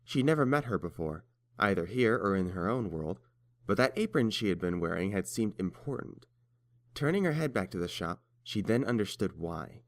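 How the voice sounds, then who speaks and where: A man narrates a story from a script in a fairly low voice. The recording is dry and close. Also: animated, normal speed, no echo.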